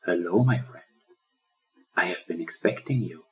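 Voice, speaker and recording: A man voicing a wise, gentle old lion in a storybook, speaking in a loving and welcoming manner. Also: low voice, normal speed, poor audio. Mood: calm, caring.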